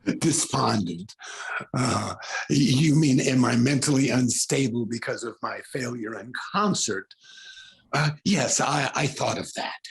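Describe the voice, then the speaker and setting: Man acting a haunted, halting concert pianist, slow and gravelly. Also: mid-range voice. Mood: defensive. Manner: halting, stammering, overwrought delivery. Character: tormented male concert pianist, haunted and unraveling. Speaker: actor. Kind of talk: 1940s radio drama acting.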